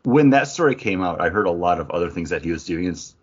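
Man, podcast co-host, raspy, lively intonation, casual chat, slightly muffled lo-fi recording. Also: low voice, quick pace. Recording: dull lo-fi sound.

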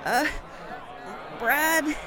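An actor playing a timid, doubting, hesitant member of a brotherhood in an audio drama. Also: male voice, very high voice, drawn-out words, a crowd in the background, background noise, heavily acted. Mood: timid, doubtful. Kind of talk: supernatural audio drama acting.

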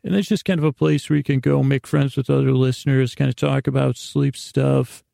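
A man hosting a bedtime podcast in a rambling, improvised monologue, halting and meandering with stammered restarts, self-deprecating and gently reassuring, in a gravelly voice. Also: medium pitch, steady tone, no room sound, clean studio sound. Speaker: podcast host.

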